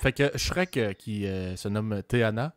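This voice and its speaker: A man's voice: lowish voice, a little slow. A young man with a Québécois French accent, co-hosting a podcast in casual chat, joking and swearing freely. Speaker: podcast co-host.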